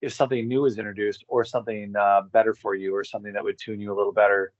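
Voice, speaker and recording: A man speaking as an interview guest, thinking aloud in a casual, reflective way and halting as he searches for words. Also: on the low side, little pitch movement, dry recording. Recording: dull, slightly lo-fi, dry sound.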